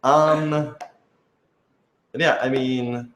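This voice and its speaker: A man's voice: mid-range voice, steady tone, drawn-out words, fairly bright tone, a little hiss or hum. A man chatting casually and unscripted on a live stream, thoughtful and hedging, with joking asides. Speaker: radio drama creator answering fan questions. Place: office, indoors. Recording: roomy, echoey indoor room.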